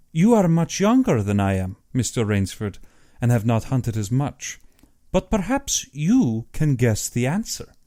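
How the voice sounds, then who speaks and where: A man's voice: a little high, mellow. A man voicing an urbane, boastful, cold-blooded older general and hunter, pompous and precise in an audiobook. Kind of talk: audiobook character acting.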